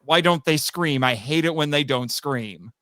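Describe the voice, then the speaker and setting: Man, slightly high voice, dry close sound, podcast guest, animated casual talk, tangent-prone, stammering restarts. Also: normal speed, no room sound. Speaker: podcast guest, voice actor and writer. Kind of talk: animated casual discussion of a character.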